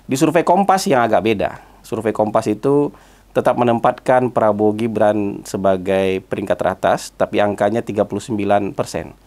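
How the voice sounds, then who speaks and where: Hoarse, stuffy-nosed man. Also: lowish voice, a little mellow. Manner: conversational, frequent "ya" and "eh" fillers. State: stuffy nose and hoarse from a cold. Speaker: political commentator hosting his own channel. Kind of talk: solo spoken commentary.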